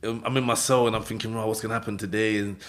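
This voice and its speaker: A man with a London accent telling a story in an interview, in animated street slang, acting out voices and laughing often. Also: on the low side, rapid-fire. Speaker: interview guest.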